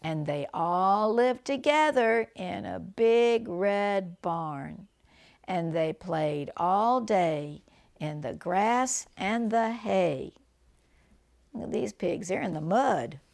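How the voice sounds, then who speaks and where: Older woman reading aloud, slow and lively. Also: mid-range voice, vocal fry. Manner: slow, deliberate phrasing that points out pictures to a child. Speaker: grandmother reading a picture book to children. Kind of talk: read-aloud storytelling with chatty asides and animal sounds.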